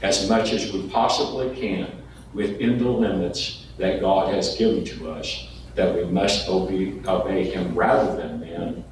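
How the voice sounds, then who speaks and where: A man preaching a sermon, earnest and folksy, sometimes restarting his words. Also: low voice. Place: church sanctuary. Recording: echoey hall, distant mic, background noise.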